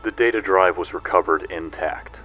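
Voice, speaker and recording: An actor playing a cool, loyal psionic agent in a sci-fi audio drama, speaking in a measured, dutiful manner. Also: male voice, low voice, highs cut off, faint music behind, lightly acted.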